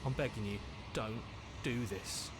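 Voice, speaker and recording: An actor playing a troubled, doubting inner voice in a video game, speaking in a halting, pleading way. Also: male voice, mid-range voice, background noise, lightly acted. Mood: anxious pleading. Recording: music behind the voice.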